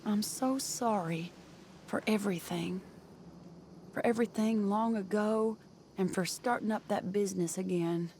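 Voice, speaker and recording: An actress playing a heartbroken, genteel woman reading a farewell letter in a wistful, measured, sorrowful voice-over for a video game. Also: medium pitch, darkish tone, a little hiss or hum, natural voice.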